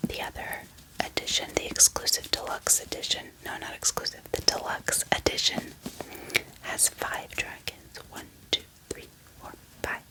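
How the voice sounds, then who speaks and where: A woman speaking in a soft, breathy ASMR whisper, gentle and unhurried, like a book vlogger showing off her collection. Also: rough recording.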